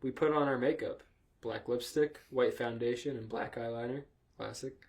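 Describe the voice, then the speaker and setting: A man with a middle-pitched voice hosts a casual comedy podcast. He reads aloud in a mock storytelling way and drops in joking asides as he goes. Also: steady tone, normal speed, vocal fry, a little mellow. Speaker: podcast host reading fan fiction aloud.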